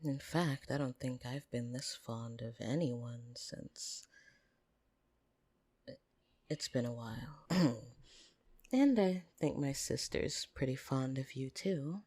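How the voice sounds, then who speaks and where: Casting: performer to play a seductive, possessive vampire for ASMR roleplay; gravelly, husky, intimate delivery that slips into whispers. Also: medium pitch, full character voice. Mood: teasing. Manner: teasing, soft-spoken, often dropping into breathy whispers. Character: flirtatious, possessive upper-class vampire on a dinner date. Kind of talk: ASMR roleplay, intimate second-person monologue.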